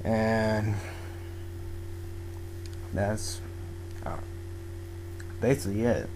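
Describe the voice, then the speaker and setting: A young man talks at an unhurried pace in a lowish voice that is wearing hoarse. He rambles through a casual review, packing his sentences with fillers and restarts, into a home microphone with a faint hum behind him. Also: little pitch movement, darkish tone, poor audio. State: losing his voice. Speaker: manga video blogger. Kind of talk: casual spoken manga review.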